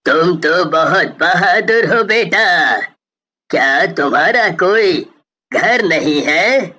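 A woman voicing a kindly, fatherly old village man in a cartoon story, speaking deliberately. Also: lowish voice, animated, sharp tone, exaggerated voice. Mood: kindly, approving. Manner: very slow, deliberate.

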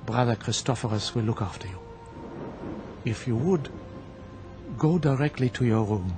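An actor as a solemn, zealous old monk over music. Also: male voice, mid-range voice, animated, close to an everyday voice. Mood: grave, paternal. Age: older. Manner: solemn, deliberate, preacherly. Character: grave, zealous old monk, unshakably certain of his faith. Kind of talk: radio drama acting. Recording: music bed behind the voice.

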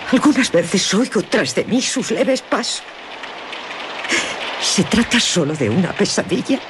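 An actress playing a haunted, anguished young gentlewoman in a radio drama, with a dramatic, halting delivery. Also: mid-range voice, sing-song, background noise. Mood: frightened, anguished.

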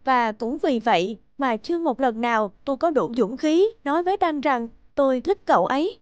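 High-pitched feisty schoolgirl. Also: lively intonation, moderate pace, clear, resonant tone, dry recording, lo-fi sound. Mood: wistful, determined. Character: feisty, competitive teenage schoolgirl, grown into wistful young woman. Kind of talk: animated drama dubbing.

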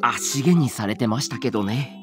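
A man's voice: medium pitch, normal speed, natural voice. Guy voicing a polite young fighter from an anime game, respectful and easily flustered, some music under him. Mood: wry. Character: polite, earnest young male time-traveling warrior. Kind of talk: Japanese anime game voice acting. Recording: music behind the voice.

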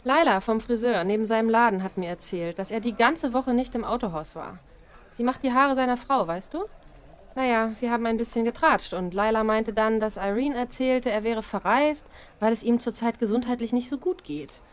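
A woman's voice: a little high, normal speed, natural voice. A woman playing a nosy, feisty young bartender with a blunt, gossipy, colloquial way of talking. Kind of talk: audio drama acting.

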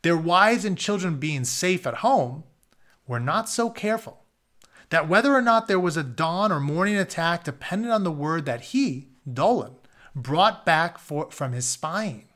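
Higher-voiced man reading a story aloud. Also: expressive, a little slow, fairly bright tone. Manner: informal, explains words in plain terms. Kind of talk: read-aloud storytelling with casual explanatory asides. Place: carpeted home office, indoors.

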